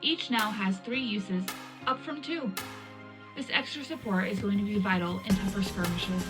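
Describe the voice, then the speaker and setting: A woman playing a wartime radio news presenter, reading a scripted military bulletin in a measured, formal announcer delivery. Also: medium pitch, close to an everyday voice. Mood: composed, official. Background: loud music bed.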